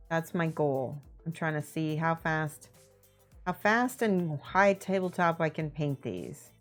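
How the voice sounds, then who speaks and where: A woman with a Midwestern accent, chatting casually on a live stream while she paints miniatures, talking her steps through aloud. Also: lowish voice. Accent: Midwestern American. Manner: relaxed, thinking aloud, greeting chat viewers.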